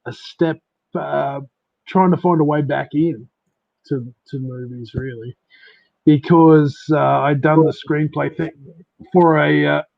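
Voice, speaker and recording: A man's voice: a little high, steady tone, takes it slowly, gravelly, dry recording. Australian man, dry and slightly muffled connection. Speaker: podcast guest, writer. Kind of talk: casual interview. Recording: dry, dull lo-fi internet connection.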